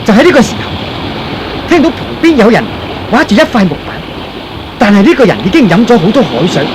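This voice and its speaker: A man's voice: sits high, big pitch swings, fast talker, background noise. A man narrating a scripted serial drama in the dramatic manner of old radio storytelling.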